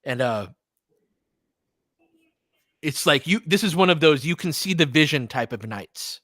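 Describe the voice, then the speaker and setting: A man doing casual fan play-by-play of a game as a host, in an excitable, slangy style with some swearing. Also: on the high side, a little slow, no echo, clean studio sound. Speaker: sports podcast host calling a game.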